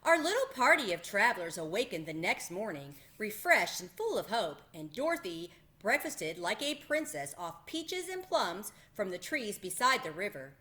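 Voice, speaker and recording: A woman with a bright, forward voice reads a children's chapter book aloud. Her register is medium and her storytelling expressive. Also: mid-range voice, normal speed, hardly any pauses. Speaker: read-aloud host.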